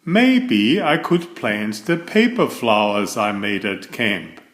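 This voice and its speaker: A man's voice: lowish voice, animated, takes it slowly, fairly bright tone. A man voicing an earnest, forgetful young turtle in a children's storybook, with a simple childlike delivery. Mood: thoughtful.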